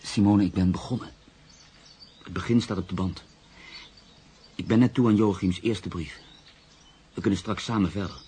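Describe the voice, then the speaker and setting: An actor playing a reflective, skeptical journalist in a radio play, telling his own story in a measured, literary manner. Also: sits low, dark tone, husky. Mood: earnest. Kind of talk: radio play, first-person narration and dialogue.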